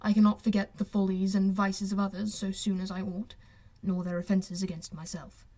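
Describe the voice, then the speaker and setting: A woman voicing a proud, reserved high-society gentleman in an audiobook, speaking in a measured, formal, restrained way. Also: level tone, natural voice. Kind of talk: audiobook character voice.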